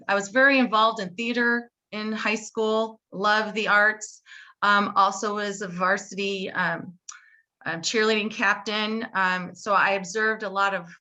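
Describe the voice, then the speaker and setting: Bright-voiced woman judge on a call. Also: mid-range voice. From born in Puerto Rico, raised in Chicago area. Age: 40s. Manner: earnest, fluent with frequent ums. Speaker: judge, candidate. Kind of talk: candidate introduction on a video call. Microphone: video call.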